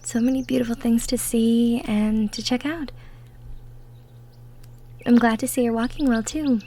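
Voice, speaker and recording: Woman playing high-voiced, sweet cottagecore country girlfriend. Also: fairly even intonation, unhurried, mellow. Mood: friendly, curious. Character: cheerful, gentle young cottagecore woman from the woods. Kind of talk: roleplay audio drama, intimate one-sided conversation.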